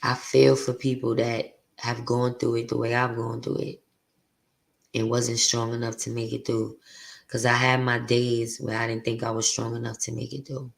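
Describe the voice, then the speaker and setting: A woman with a deep voice hosting her podcast, venting bluntly and profanely in a candid, confessional monologue. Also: little pitch movement, normal speed, gravelly. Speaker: podcast host.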